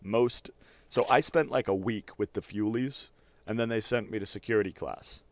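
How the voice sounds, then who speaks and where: A man's voice: on the low side, moderate pace, muffled recording. A man casually telling rambling, profane personal stories as a streamer over gameplay. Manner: rambling, profane, often chuckles while talking.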